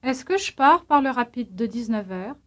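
A woman acting as a language instructor, reading out scripted drill questions in a clear, measured way. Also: a little high, animated, a bit bright. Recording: dull lo-fi language tape.

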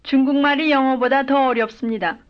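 A woman giving careful, measured full-sentence answers as the model speaker in a recorded language drill. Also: sits high, steady tone. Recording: dull lo-fi old lesson tape.

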